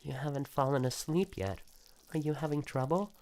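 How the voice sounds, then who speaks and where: Visual-novel mother, acted by a man: gentle, coaxing, eerily sweet, lifted mid-range voice. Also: on the high side, normal speed, voice pushed up, close to an everyday voice. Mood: tender, coaxing. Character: doting, eerily sweet mother soothing her young son. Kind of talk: scripted visual-novel character reading.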